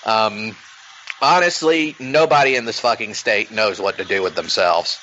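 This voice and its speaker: Man, podcast host, casual chat, slow pace, tense bright voice, swears a lot, dull recording. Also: lowish voice, a little hiss or hum. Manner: profane, rambling, many fillers. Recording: dull lo-fi sound.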